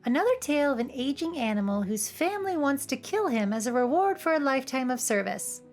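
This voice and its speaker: A woman with a high voice delivers humorous scripted commentary as a host. She slips in playful, cheeky asides. Music plays behind her. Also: big pitch swings, a little fast. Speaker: video essay host. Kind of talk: scripted, humorous commentary over cartoon clips. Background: background music.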